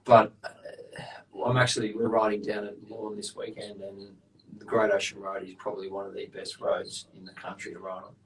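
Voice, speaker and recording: Low-voiced guest, relaxed. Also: male voice, a little fast, far from the mic, rough recording. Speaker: guest, real estate agent. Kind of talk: casual interview answers.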